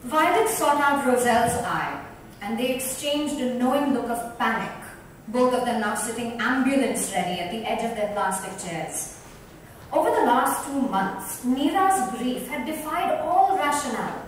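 A woman's voice: on the high side, lively intonation, moderate pace. A woman giving a dramatic scripted reading of a book, seated in a lounge. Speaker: reader. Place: club lounge, seated in a row. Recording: distant echoey room, faint noise.

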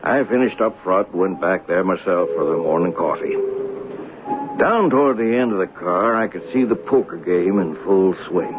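A plain-talking train conductor telling a story on old radio, lowish voice, tinny sound. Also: male voice, lively intonation. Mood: reflective. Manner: folksy, halting storytelling. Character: train conductor recounting events, plain-spoken, observant. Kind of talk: 1970s radio drama narration. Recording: noisy, narrow-band old radio broadcast.

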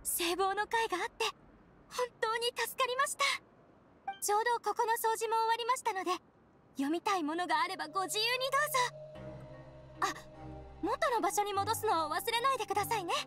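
A woman's voice: very high-pitched, fairly quick, pauses often, fairly bright tone, faint music behind. A woman playing a polite, earnest, contented young society member in game dialogue. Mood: grateful, helpful.

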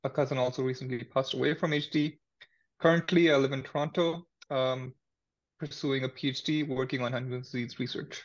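Young man from pakistan on a video call, direct, flat delivery, muffled audio. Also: mid-range voice, a little fast. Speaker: panelist, PhD researcher. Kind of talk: personal account on a panel. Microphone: video call from a laptop.

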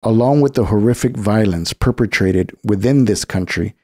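A man with a low voice, recorded dry and close, narrates a political essay. His delivery is deliberate and emphatic, clearly read from a script. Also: darkish tone, no echo. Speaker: program narrator.

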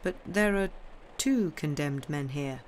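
A man's voice: a little high, a little slow, slight background noise, natural voice. Man playing an intense, scornful Count. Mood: cold, assured. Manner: cold, emphatic, declamatory. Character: worldly, cold Count, scornful of mankind, intense.

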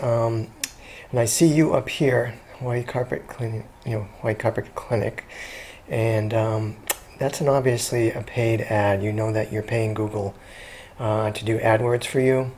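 A man talking casually through a screen-recorded walkthrough as a consultant, chatty and relaxed, with frequent ums and restarts. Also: on the low side, a little hiss or hum. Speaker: SEO consultant pitching a business.

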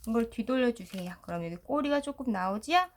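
A woman's voice: fairly high pitch, expressive, a little fast. A middle-aged woman teacher giving a step-by-step craft tutorial in a polite, explanatory way. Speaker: children's storytelling teacher and video host.

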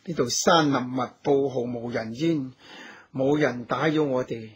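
An actor playing a resolute, caring heroic husband in a radio drama. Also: male voice, mid-range voice, unhurried, breathy edge, dull audio. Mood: tender, caring. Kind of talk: Cantonese radio drama acting.

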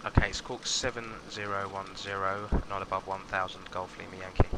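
A man's voice: sits low, little pitch movement, normal speed. A man speaking as a flight instructor and pilot in a flight-simulator lesson, making radio calls. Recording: engine noise behind the voice. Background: aircraft engine drone from simulator.

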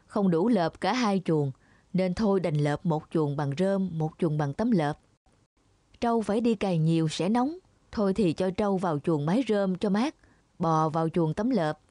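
A woman's voice: on the low side, warm tone, dry recording, lightly acted. Woman as a practical, anxious cartoon villager. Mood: pondering. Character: practical, anxious farming villager looking after livestock. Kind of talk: children's cartoon fable dubbing.

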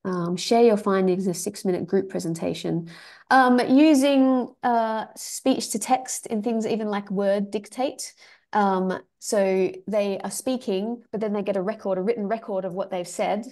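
A woman's voice: medium pitch, unhurried, dark tone. A woman giving a webinar presentation over a video call, talking with many ums and restarts. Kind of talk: academic webinar talk.

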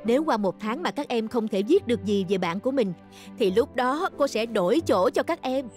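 A patient, concerned woman teacher from a cartoon, acted by a dubbing actress. Her voice is a little high. Faint music sits behind it. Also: expressive, moderate pace, darkish tone, natural voice. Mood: patient, firm.

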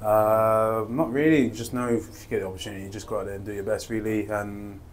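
A man's voice: lowish voice, fairly even intonation, brisk. A young man, a footballer giving a post-match interview in a modest, laid-back way with lots of fillers, on a lapel mic indoors. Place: indoor interview room by a sponsor board.

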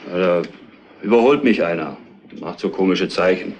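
Crime radio play: defensive trucker suspect, lowish pitch, colloquial and halting, slight background noise. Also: male voice, lively intonation, dull audio. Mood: uneasy, recounting. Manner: halting, colloquial, plain-spoken. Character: defensive working-class furniture truck driver, a murder suspect. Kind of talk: retro German radio crime drama acting.

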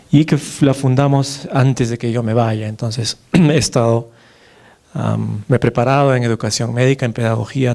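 A man's voice: medium pitch, creaky, a little mellow. A Peruvian man in his thirties, a neurologist giving a conference lecture in a large lecture hall at a podium mic. He talks conversationally, with many fillers and tag questions. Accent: Peruvian Spanish. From Peru. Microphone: podium microphone, far camera.

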